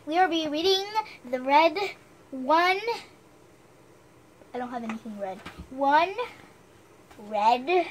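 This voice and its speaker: A woman's voice: sits high, lively intonation, takes it slowly, pauses often, slight background noise. A woman reading a storybook aloud with chatty, self-deprecating asides, stumbling over the odd word.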